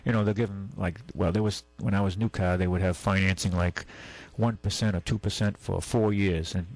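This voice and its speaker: Gravelly-voiced radio call-in host. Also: male voice, sits low, steady tone, fairly quick, a little mellow, low-quality recording. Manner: blunt, folksy, talks with callers about car money.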